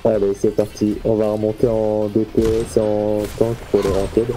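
A man's voice: fairly low pitch, monotone, normal speed, poor audio. A man on voice chat during a team shooter game, bantering and swearing casually with his teammate. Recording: game music behind.

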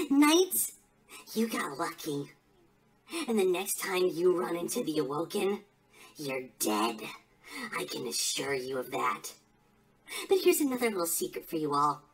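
A woman playing a smug, unhinged, conspiracy-minded villain who taunts rivals in a theatrical, melodramatic wrestling promo. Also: very high voice.

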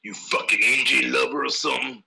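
A man playing a brutal, foul-mouthed Western outlaw father in a radio drama, with a gravelly drawl and a menacing manner. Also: mid-range voice, steady tone, fairly quick, very noisy. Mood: cruel gloating. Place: home, on a group video call.

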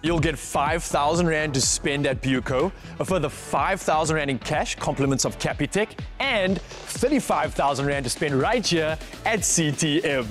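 A man hosting a design competition, upbeat and energetic as he announces prizes and counts down. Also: a little high, normal speed, over music. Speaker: host.

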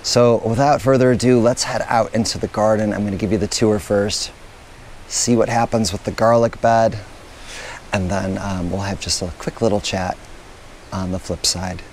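A man in his thirties, hoarse after losing his voice, hosting a relaxed garden tour outdoors with a lapel mic, talking casually with lots of fillers. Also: lowish voice, normal speed, a little hiss or hum. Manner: chatty, relaxed, with many fillers. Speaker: gardening channel host.

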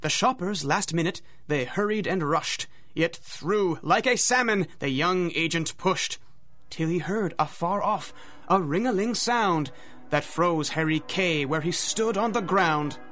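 A man's voice: sits high, lively intonation, unhurried, sharp tone, soft background music, exaggerated voice. A man narrating a radio drama in a theatrical, dramatic storytelling manner.

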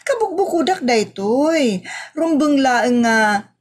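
Woman acting a slow, high, giggly sweet-talker, Ilocano accent. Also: sing-song, full character voice. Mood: sweet, teasing. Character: smiling, coy, sweet-talking woman seeking favour, giggly. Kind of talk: Ilocano comedy audio drama acting.

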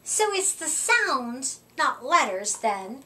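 A middle-aged woman reading a rhyming picture book aloud to children, with deliberate, expressive emphasis, recorded a short way from the mic. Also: high voice. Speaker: children's storytime reader. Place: indoor room, seated before a curtain. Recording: voice distant from the microphone.

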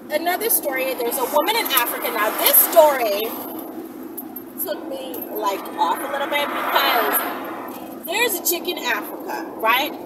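A woman in her thirties tells stories in a casual, blunt, slangy way, chatting to camera as a vlogger. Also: high-pitched. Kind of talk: casual storytelling chat to camera. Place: inside a moving car. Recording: distant mic, loud vehicle noise. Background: loud road and vehicle noise.

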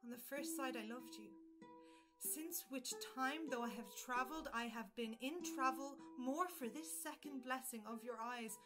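A woman playing a bold, eloquent gallant in an early modern audio drama, wooing in measured, theatrical, courtly speech. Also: high voice, over loud music, close to an everyday voice. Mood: ardent.

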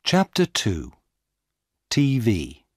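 A man narrating a simple story as an audiobook reader, with careful, deliberate enunciation and expressive delivery. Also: medium pitch, husky, no echo, studio quality. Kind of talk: slow, scripted graded-reader story narration.

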